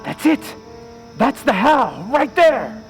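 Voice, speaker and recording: A man's voice: very high-pitched, sing-song, takes it slowly, soft background music, heavily acted. A man playing a movie star's resentful, scheming husband in an old-time radio drama, speaking tersely and conspiratorially. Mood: urgent.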